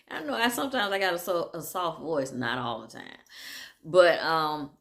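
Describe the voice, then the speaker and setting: A woman's voice: sits low, expressive, a little slow. A woman talking casually and candidly, laughing often and wandering off track as she tells stories. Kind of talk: casual monologue.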